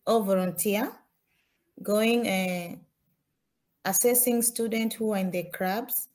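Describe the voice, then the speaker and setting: A young woman from Tanzania presents her slides at a slow, unhurried pace. She pauses often and restarts her sentences, and her is rather than English. She speaks at a mid pitch, like a student giving a talk. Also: darkish tone. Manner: halting, many fillers and restarts.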